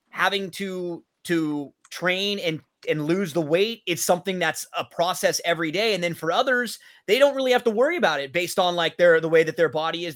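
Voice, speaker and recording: A man's voice: high voice, fairly bright tone. A man hosting a sports interview show in a conversational, chummy way. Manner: chummy, addresses guest as buddy.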